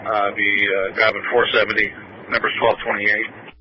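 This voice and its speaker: A man's voice: fairly low pitch, little pitch movement, moderate pace. A man speaking as a police field commander in live radio traffic, heard through a two-way radio. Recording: muffled, noisy police radio transmission.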